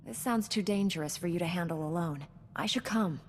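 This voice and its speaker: An actress playing a composed, earnest young female knight in a science fiction video game, speaking in a measured way. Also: on the low side, long reverb tail, slight background noise, close to an everyday voice. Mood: concerned. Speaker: player character. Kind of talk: video game dialogue acting.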